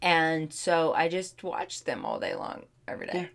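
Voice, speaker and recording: A woman co-hosting a podcast, chatting casually and telling of a business move abroad with emphatic, quick remarks. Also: sits low, flat delivery, fairly bright tone, a little hiss or hum. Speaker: podcast co-host. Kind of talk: casual chat.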